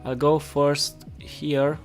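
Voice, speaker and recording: A man from Romania hosting a casual live coding tutorial, halting and repeating his words as he goes. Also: fairly high pitch, level tone. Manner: halting, repeats words while reading chat. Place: indoors at a computer desk. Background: music playing, keyboard typing.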